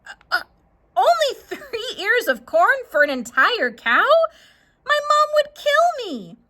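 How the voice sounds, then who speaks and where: A woman acts out a young storybook farm girl, high and bright in voice. She is earnest, anxious and hesitant, with a plaintive lilt that jumps around. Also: big pitch swings, takes it slowly, full character voice. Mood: doubtful.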